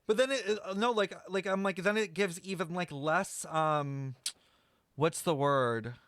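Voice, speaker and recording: A man's voice: high-pitched, measured pace, a bit bright, no echo, studio quality. A man giving casual game stream commentary, rambling as he thinks aloud and swears freely.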